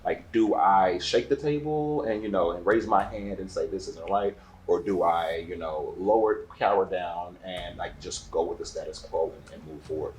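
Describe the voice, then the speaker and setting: A man working as an interviewer in a casual style, halting and repeating words as he forms his questions. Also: low-pitched, a little hiss or hum, low-quality recording.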